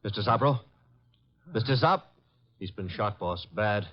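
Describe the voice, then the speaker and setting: A man's voice: lowish voice, animated, measured pace. An actor playing a flippant young male detective's assistant, slangy and wry, in vintage radio drama style. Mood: urgent. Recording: dull, lo-fi vintage radio recording.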